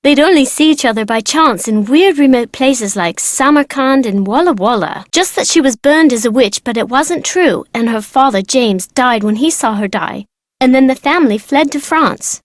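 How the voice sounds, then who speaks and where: A very high, girlish voice, close and dry, for a video game character. She is a precocious young girl, proud of her family, who chatters casually with playful slang and teasing. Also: lively intonation, normal speed, no echo. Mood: uneasy.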